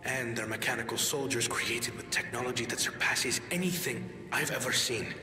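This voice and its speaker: A man plays a grave fantasy-game adviser who ponders things aloud. His delivery is formal, deliberate and analytical. It rings in an echoing hall with music clearly behind it. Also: mid-range voice, steady tone, close to an everyday voice. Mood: grave puzzlement. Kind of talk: dramatic video game cutscene acting.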